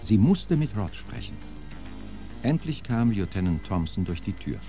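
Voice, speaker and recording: A man's voice: on the low side, sing-song, unhurried, dark tone. A man narrating a radio play in a scripted storytelling style. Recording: music behind the voice.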